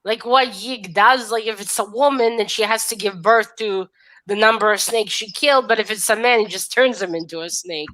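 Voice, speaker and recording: A woman's voice: mid-range voice, moderate pace, fairly bright tone. A woman in a casual book discussion, retelling her reactions as she thinks them through.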